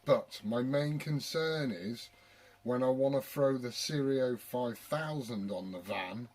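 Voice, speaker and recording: British male CB operator, mid-pitched, casual, blokey and given to swearing. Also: slow pace, a little mellow. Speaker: CB radio operator and video maker. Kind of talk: casual radio chat.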